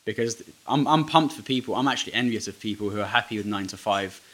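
A man's voice: on the low side, quick pace, a bit bright. A man hosting a podcast, talking candidly and with strong opinions, often restarting his sentences. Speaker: podcast host. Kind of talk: casual interview chat.

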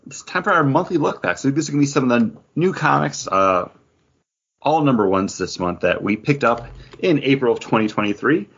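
A man's voice: mid-range voice, animated, brisk, gravelly, a bit bright, husky. A man co-hosting a podcast, chatting casually in a rambling, self-correcting, enthusiastic way. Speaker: comics podcast co-host. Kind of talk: casual chat reviewing comic books. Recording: dull lo-fi audio.

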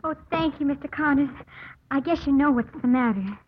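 An actress playing a polite, anxious young daughter, earnest and deferential, in a 1950s radio drama. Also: female voice, high voice, fairly quick, lightly acted. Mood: worried. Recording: narrow, hissy old radio broadcast.

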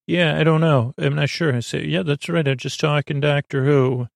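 Guy with a creaky gravelly voice rambling soothingly for a sleep podcast, stumbles and restarts, really dry close sound. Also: a little high, fairly even intonation, no echo. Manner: rambling, halting, self-interrupting; lulling, soothing. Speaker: podcast host. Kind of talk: meandering bedtime podcast monologue.

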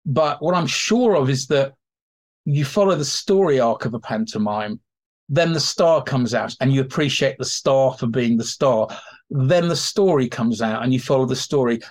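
A man speaking as an expert guest, explaining informally in an animated way and slipping into acted-out examples. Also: medium pitch. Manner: animated, acts out imagined quotes. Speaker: expert guest, theatre historian. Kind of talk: informal explanatory interview talk.

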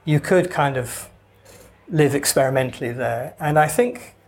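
A man's voice: fairly high pitch, a little slow. Wry, rambling Welsh-born man. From Wales. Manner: wry, digressive, with hesitant restarts. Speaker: author guest. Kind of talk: casual interview conversation.

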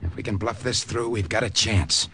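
An actor playing a resolute young American secret agent, clipped and decisive, in an old-time radio drama. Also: male voice, lowish voice, moderate pace, loud music behind the voice, natural voice. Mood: tense, determined.

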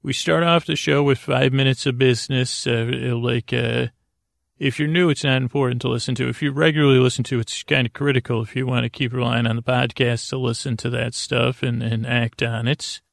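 A man hosting a bedtime podcast in a meandering, halting monologue full of whimsical tangents, with a creaky voice. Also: mid-range voice, steady tone, no echo, studio quality. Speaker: podcast host.

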